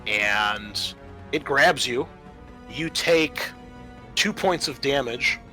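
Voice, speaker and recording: A man's voice: mid-range voice, animated, drawn-out words, bright, forceful tone, faint music behind. A man narrating a tabletop horror roleplaying game as game master, casual and prone to swearing.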